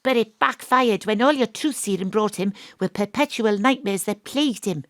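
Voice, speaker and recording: A woman acting out a shrewd female private eye with a lilt, coolly laying out the facts. Her voice sits at a medium pitch and sounds close and dry, with no room around it. She is sure of herself and gently persuasive. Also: normal speed, no room sound, lightly acted. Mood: probing. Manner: confident, probing, coolly persuasive. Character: sharp, knowing female private detective. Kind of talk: audio drama acting. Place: home studio.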